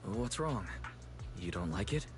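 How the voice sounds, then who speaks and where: A man's voice: lowish voice, animated, a little slow, over music. A man voicing a kind, easygoing young companion in a video game, speaking attentively. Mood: gentle, reassuring.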